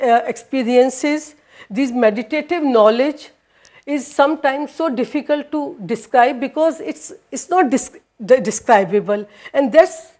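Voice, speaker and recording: High-voiced woman lecturing, hesitant and halting. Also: darkish tone. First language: Hindi. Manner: hesitant, many fillers and restarts, switches into Danish. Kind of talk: university lecture. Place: lecture room with projector.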